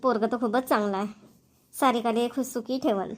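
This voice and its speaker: Woman voicing a weary high-pitched village mother-in-law. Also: fairly even intonation, brisk, close to an everyday voice. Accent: Varhadi Marathi. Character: tired, heavyset middle-aged village mother-in-law. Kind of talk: cartoon family comedy voice acting.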